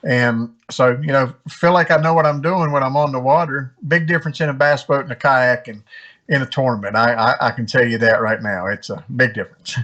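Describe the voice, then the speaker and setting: A man from West Virginia talking casually as an interview guest, halting and often repeating his words. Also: medium pitch, steady tone, a bit bright. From West Virginia, United States. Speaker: interview guest, amateur tournament angler.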